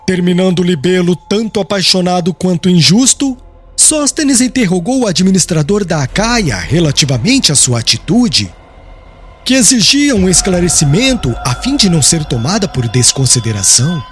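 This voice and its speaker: A man reading scripted audiobook narration as an expressive, solemn storyteller. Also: high-pitched, moderate pace, husky. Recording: music behind the voice.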